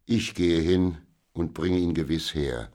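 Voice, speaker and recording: A man voicing a wise, calm older merchant and gentle father in a children's audiobook, speaking in a soothing, measured way. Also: deep voice. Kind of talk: audiobook character voice.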